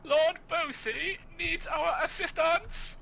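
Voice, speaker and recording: A man with a Yorkshire accent putting on the voice of a shrill, frantic male dwarf messenger. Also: creaky, pushed and bright, exaggerated voice. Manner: squealing, drawn-out urgency.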